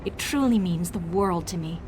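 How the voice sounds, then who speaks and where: A woman's voice: lowish voice, moderate pace, background noise, close to an everyday voice. A woman voicing an eager, boastful young female mage tinkerer in a video game, dramatic and nervously evasive. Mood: apologetic, proud. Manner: dramatic, self-congratulating, hesitant when cornered. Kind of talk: video game character dialogue.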